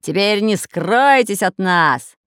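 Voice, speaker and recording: A woman voicing a red-bearded male pirate captain, a gloating storybook villain with a hoarse, barking voice. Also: deep voice, no room sound, clean studio sound. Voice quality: hoarse, raspy. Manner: barking, gloating, drawn-out menace.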